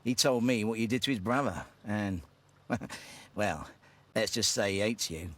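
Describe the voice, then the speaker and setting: Low-voiced underworld boss. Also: male voice, a little slow, fairly bright tone. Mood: sneering, threatening. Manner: offhand, sneering, veiled threats. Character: dismissive, menacing male London underworld brothel owner. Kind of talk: dramatic video game acting.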